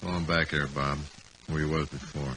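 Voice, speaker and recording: A wary, commanding gunslinger boss with an American drawl. His voice sits very low, with terse menace, on a noisy vintage radio drama recording. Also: little pitch movement, moderate pace. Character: laconic, menacing male outlaw leader, wary and commanding. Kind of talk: 1950s radio drama acting.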